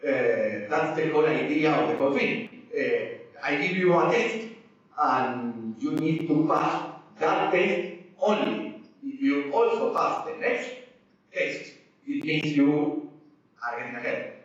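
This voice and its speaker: A man's voice: on the high side, slow pace. A man giving a technical presentation to a small audience, hesitant and full of fillers and restarts, apologetic about his English. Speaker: conference speaker. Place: small lecture room. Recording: echoey room, distant mic, dull sound.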